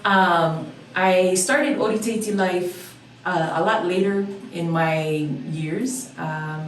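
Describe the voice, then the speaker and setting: Friendly woman guest from Hawaii; somewhat low voice, recorded at a distance in an echoey room. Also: slight background noise. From Oahu, Hawaii. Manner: friendly, easygoing, with frequent fillers. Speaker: dance instructor, guest. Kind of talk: casual interview answers.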